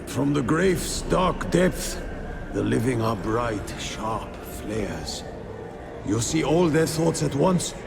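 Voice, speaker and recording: An actor playing the remorseful ghost of a peasant-born squire, mournful and halting, in a video game. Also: male voice, medium pitch, very animated, gravelly, over music, exaggerated voice. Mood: sorrowful. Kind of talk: dramatic video game acting.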